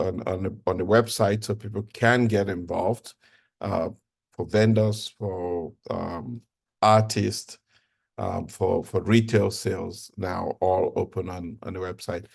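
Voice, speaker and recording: Guy hosting a community video call, kinda halting, low gravelly voice. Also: fairly even intonation, a little mellow. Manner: halting, hesitant phrasing. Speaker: call host.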